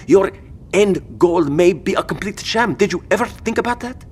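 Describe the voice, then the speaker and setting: A man's voice: sits high, lively intonation, normal speed, a little hiss or hum. A man playing an anxious, argumentative civilian father at his wit's end in an audio drama, speaking articulately. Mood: frustrated, challenging. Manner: pleading, articulate arguing.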